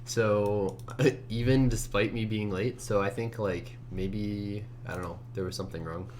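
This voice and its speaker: A man with a low, just-woke-up voice talks through his code in a casual way. He mutters to himself and trails off mid-thought, with a clear hum and keyboard typing behind him. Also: fairly even intonation, measured pace, a little mellow. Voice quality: low morning voice. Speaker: competitive programmer narrating a screencast. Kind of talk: casual think-aloud commentary while coding. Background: computer keyboard typing, hum.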